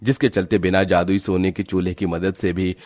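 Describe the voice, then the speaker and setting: Low-voiced man narrating a cartoon story. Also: little pitch movement, fairly quick, darkish tone, no echo.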